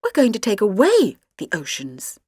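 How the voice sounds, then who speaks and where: Woman voicing a quietly menacing possessed girl. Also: mid-range voice, wildly swooping pitch, moderate pace, husky, no echo, clean studio sound. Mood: quiet gloating. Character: alien-possessed teenage girl, quietly gloating conqueror.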